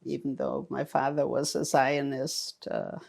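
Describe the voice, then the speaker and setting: A woman in her eighties, born in Romania with German as her first language, giving slow, halting oral history testimony full of fillers and restarts. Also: a bass voice, little pitch movement, vocal fry, a little mellow. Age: 80s. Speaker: interviewee.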